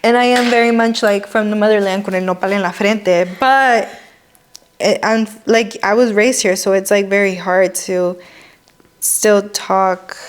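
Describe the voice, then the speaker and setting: A woman's voice: medium pitch, fairly even intonation, a little slow, smooth. A young woman from Mexico hosting a podcast, chatting casually and dropping Spanish phrases into her English. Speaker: podcast host and lash artist. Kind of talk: casual chat.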